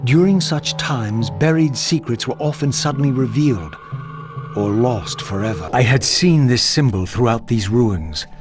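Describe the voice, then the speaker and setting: An actor playing a bitter, brooding undead wraith in a video game, with grave, theatrical, deliberate phrasing. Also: male voice, medium pitch, expressive, close to an everyday voice. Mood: brooding, reflective. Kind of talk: dramatic video game dialogue and monologue. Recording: music behind the voice.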